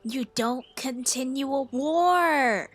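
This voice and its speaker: Bright-voiced woman gamer, slow casual reactions. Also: high-pitched, faint music behind. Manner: short, affectionate interjections. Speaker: gaming video creator reacting to a story quest.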